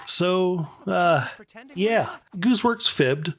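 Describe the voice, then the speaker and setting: A man's voice: sits high, expressive, extremely slow, very noisy. A man hosting a scripted video essay of commentary on animation.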